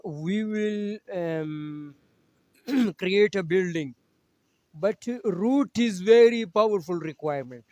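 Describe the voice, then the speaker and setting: High-voiced Urdu-speaking teacher, halting English. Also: male voice, mellow, no echo, clean studio sound. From Pakistan. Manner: halting English with frequent pauses and throat-clearing. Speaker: Quran teacher. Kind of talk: online Quran lesson. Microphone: video call.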